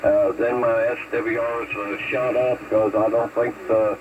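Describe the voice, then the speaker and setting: A man's voice: on the low side, level tone, measured pace. A folksy, plain-spoken man from West Virginia chatting casually over a noisy CB radio link. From West Virginia, USA. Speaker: CB radio caller and hobbyist. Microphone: radio transceiver microphone. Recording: muffled, very noisy distant CB radio transmission.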